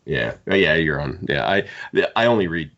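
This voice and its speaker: A man's voice: extremely low pitch, sing-song, moderate pace, creaky, a bit bright, lo-fi sound. A man co-hosting a podcast, talking through fan topics in a rambling, conversational way. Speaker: podcast co-host. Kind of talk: casual fan discussion.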